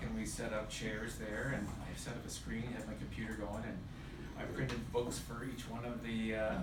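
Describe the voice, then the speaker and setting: A man's voice: medium pitch, noisy background. An older man from Toronto giving a chatty, rambling acceptance speech, laughing often, recorded on a phone from the audience in a parlor. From Toronto, Canada. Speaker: award recipient, museum volunteer. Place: parlor of an old house, indoors, audience around. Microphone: phone camera in the audience. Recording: echoey room, distant phone recording.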